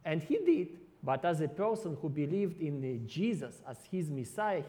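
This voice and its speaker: A man preaching in careful but halting English, his showing in his phrasing. He often restarts words and reaches for the right expression. His voice sits a touch higher than most men's. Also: mellow. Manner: halting non-native English with many restarts. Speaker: ministry worker. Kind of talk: sermon.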